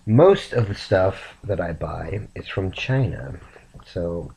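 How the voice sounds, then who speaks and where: A man sick and rambling softly to his camera late at night in a raspy, half-whispered voice. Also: low-pitched, lively intonation, slight background noise, rough recording. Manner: slow, meandering, soft-spoken. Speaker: vlogger.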